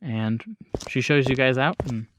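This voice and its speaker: A man acting as game master, improvising descriptive narration in a casual, halting way. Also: mid-range voice, noisy background.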